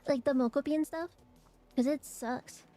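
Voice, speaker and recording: A young woman streamer chatting casually and rambling with fillers, her voice a little hoarse and raspy from allergies. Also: high-pitched, normal speed, mellow, soft background music. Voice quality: raspy, phlegmy throat. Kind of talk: casual chat to stream viewers.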